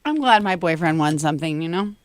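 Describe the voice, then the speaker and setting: A woman with a husky voice co-hosting a morning radio show, chatting animatedly and laughing often. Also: low voice, animated, fast talker, no room sound. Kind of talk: casual morning radio banter. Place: radio studio.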